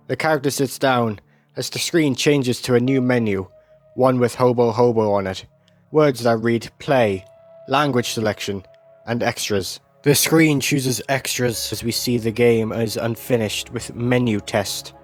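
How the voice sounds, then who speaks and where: Male video essay narrator over music. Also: mid-range voice. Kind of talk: scripted narration, recapping an animated series.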